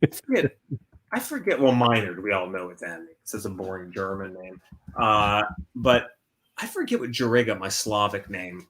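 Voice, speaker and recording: A man's voice: on the low side, animated, normal speed, fairly bright tone. An American man chatting casually as a stream guest, rambling and redirecting himself mid-thought. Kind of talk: casual chat.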